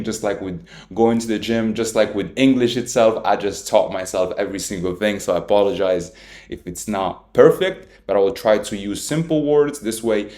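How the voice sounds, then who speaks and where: Earnest young man, low voice, echoey room. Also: a little mellow. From Italy. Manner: earnest, repetitive, self-taught English with small slips. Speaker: trading educator. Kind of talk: casual talking-head lecture.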